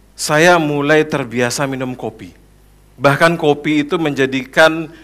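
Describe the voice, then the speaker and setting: Man, thirties, from Padang in West Sumatra, slightly high voice, public talk, personal storytelling. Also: fairly bright tone. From Padang, West Sumatra, Indonesia. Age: 30s. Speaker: coffee shop owner, guest speaker. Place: room in front of an audience.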